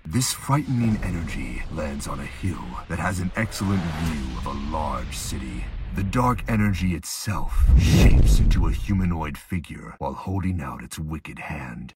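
A man with a husky voice narrating a dark audio drama slowly and ominously, with dramatic pauses. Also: rumbling low, animated, over music.

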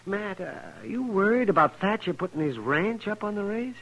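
An actor playing an excitable, folksy Old West town doctor in a 1950s radio western, with a raspy, fussy delivery. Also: male voice, sits high, a little fast, low-quality recording. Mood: concerned. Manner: animated, fussy.

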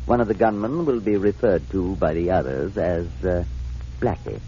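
Deep, suave criminal mastermind. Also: male voice, dark tone. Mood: suave menace. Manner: courteous, deliberate, smug. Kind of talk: 1940s radio drama acting. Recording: muffled, noisy 1940s radio recording.

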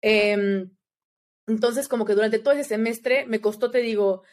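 A young Mexican-accented woman with a fairly high voice recounts a story in lively, casual conversation. She talks fast and animated, constantly checking in with her listener. It sounds like an easygoing chat between friends. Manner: animated, chatty, often adds "you know?" tags. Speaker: guest.

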